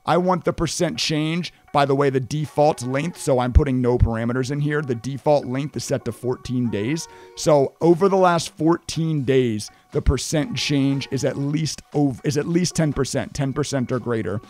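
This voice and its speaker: A man's voice: mid-range voice, normal speed, hardly any pauses, faint music behind. A man in his thirties giving a screen-share trading lesson, talking emphatically while losing his voice. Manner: energetic, emphatic, repeats "right?". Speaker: trading educator.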